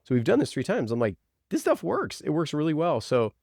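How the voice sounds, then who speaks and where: A man's voice: on the high side, huge pitch swings, a little fast, darkish tone, no room sound, clean studio sound. A man talking casually as an interviewed podcast producer, telling stories in an informal, enthusiastic way peppered with "like". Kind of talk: casual conversational storytelling.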